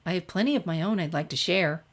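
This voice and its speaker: A woman's voice: fairly low pitch, fast. An earnest, studious teenage girl in a storybook, read by a woman. She talks politely, like a bookish student.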